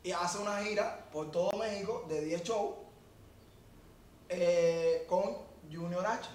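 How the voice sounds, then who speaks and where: A man's voice: high voice, slow. A man co-hosting a podcast, explaining music industry feuds at length in casual chat. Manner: explains at length, animated when stressing points. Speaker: podcast co-host. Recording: roomy echo, distant from mic, faint noise.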